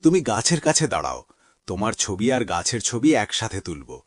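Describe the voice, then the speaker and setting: A man's voice: on the low side, sing-song, moderate pace, slightly rough, no echo, studio quality. A man playing a proud, encouraging father in a children's cartoon.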